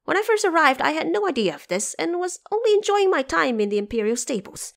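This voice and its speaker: A woman's voice: high voice, sing-song, fast, creaky, voice pushed up, full character voice. A woman voicing a proud, hot-tempered, boastful male monkey king in an audio drama. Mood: indignant. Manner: boastful, commanding, indignant. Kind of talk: audio drama character acting.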